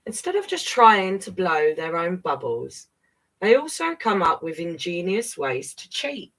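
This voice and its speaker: Lady doing storytime for little kids, unhurried, lots of swoops and asides. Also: on the low side, poor audio. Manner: expressive, talks directly to young listeners. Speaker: children's storyteller. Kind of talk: animated read-aloud of a children's picture book with chatty asides.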